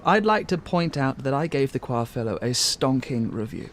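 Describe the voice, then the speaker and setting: An actor playing a pompous, arch and courteous theatre critic who also narrates a radio play to the listener. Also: male voice, mid-range voice, big pitch swings, normal speed, close to an everyday voice.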